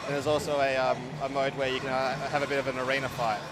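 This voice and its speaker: A man's voice: medium pitch, completely flat delivery, fast. A man giving a casual, informal live commentary as he demonstrates a game. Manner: informal, easygoing. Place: busy game expo show floor. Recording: loud noisy expo floor background.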